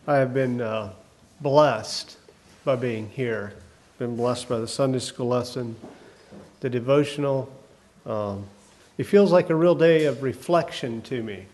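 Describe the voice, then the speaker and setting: Guy preaching sunday sermon, kinda hoarse from a cold, folksy and halting, lots of ums. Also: medium pitch, a little mellow. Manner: folksy, hesitant with many fillers.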